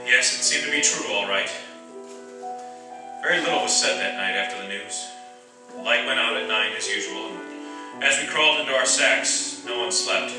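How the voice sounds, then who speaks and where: An actor playing an earnest, steady wartime POW barrack leader who also narrates in a radio drama. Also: male voice, mid-range voice, normal speed, pauses often, lightly acted. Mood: somber reflective. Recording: echoey distant stage pickup with music.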